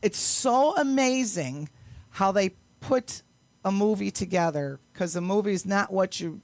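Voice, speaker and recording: A middle-aged woman speaks at an average pitch with plenty of creak in her voice. She talks casually, like a guest being interviewed, wandering from thought to thought with real enthusiasm. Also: expressive, a little slow, darkish tone, no room sound. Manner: rambling, chatty, enthusiastic. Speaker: guest, amateur actress and singer.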